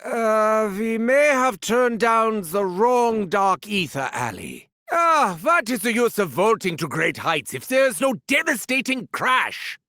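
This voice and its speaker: A man's voice: high-pitched, big pitch swings, measured pace, few pauses, bright, forceful tone, no echo, studio quality, exaggerated voice. A man playing an arrogant, gleefully sadistic German mad scientist in a video game, with a German accent and theatrical, pompous diction. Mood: puzzled, wry. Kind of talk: theatrical game character barks.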